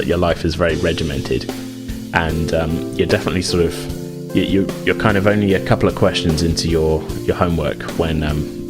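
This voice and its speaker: A man acting as game master, improvising tabletop game narration in informal, hesitant phrasing. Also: sits low.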